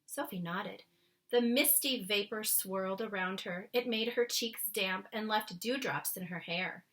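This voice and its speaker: A woman reading a children's book aloud as narrator, with dramatic, expressive phrasing. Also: mid-range voice, a little slow. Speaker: storybook reader.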